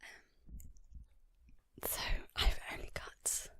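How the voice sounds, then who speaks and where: A woman whispering in a casual ASMR chat, gently rambling and unhurried, as host of her own video. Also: dry recording. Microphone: portable handheld audio recorder. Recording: close whispered recording on portable recorder.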